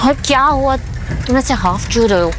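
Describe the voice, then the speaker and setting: A haughty young rich wife in an audio drama. Her voice is high and scolding, mixing Hindi with English phrases. Music runs prominently underneath. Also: expressive, fairly quick. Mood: impatient.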